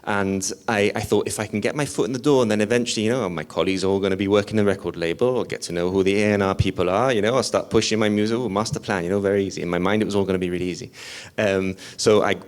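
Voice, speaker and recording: Low-voiced man telling casual anecdotes. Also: steady tone, rapid-fire, hardly any pauses. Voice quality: slightly whiny. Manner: self-deprecating. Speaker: interview guest, musician. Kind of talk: casual anecdotal interview talk.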